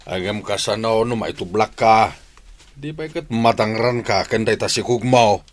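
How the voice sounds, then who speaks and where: A man's voice: fairly low pitch, normal speed, lightly acted. A man playing a concerned, fussing village uncle in a radio drama, chiding and cautioning as he talks. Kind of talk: Ilocano radio drama acting.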